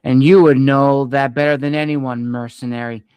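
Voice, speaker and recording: Indignant proud knight voiced by a man, slow hissing delivery, smooth clear tone. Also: medium pitch, steady tone, darkish tone, dry recording, natural voice. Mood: furious. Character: furious, proud male knight.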